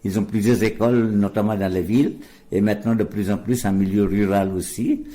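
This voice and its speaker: Older man, low mellow voice. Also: steady tone, brisk. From India. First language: Gujarati. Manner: hesitant, many fillers and restarts. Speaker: guest, deacon. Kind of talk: radio interview.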